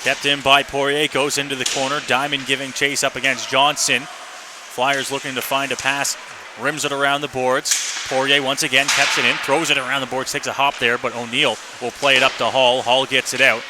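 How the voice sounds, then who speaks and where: A man commentates live hockey play-by-play for radio. His voice sits at a medium pitch. There is clear arena noise behind him as he follows the action. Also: flat delivery, moderate pace. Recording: noisy arena ambience behind.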